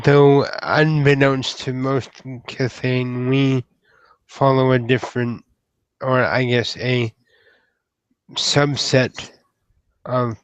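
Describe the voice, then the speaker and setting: Shy, slow-speaking troll lad, played by a man. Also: mid-range voice, no room sound, muffled recording, natural voice. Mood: earnest. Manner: halting, hesitant, formal wording. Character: shy, polite, lovelorn young male troll named Sam.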